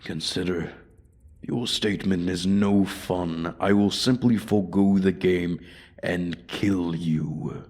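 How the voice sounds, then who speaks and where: Man voicing a deep, slow, raspy dragon. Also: fairly even intonation, darkish tone. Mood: menacing, solemn. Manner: slow, formal, condescending. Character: ancient bored male dragon, menacing, haughty, toying with prey. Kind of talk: ASMR fantasy roleplay audio.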